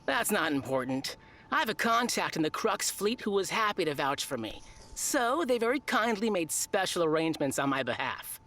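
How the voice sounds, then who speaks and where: A woman voicing a smug, pompous, self-satisfied high achiever entering a tournament in a video game. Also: very animated, moderate pace, a bit bright, a little hiss or hum. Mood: boastful. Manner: boastful, pompous, breezily confident. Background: game music.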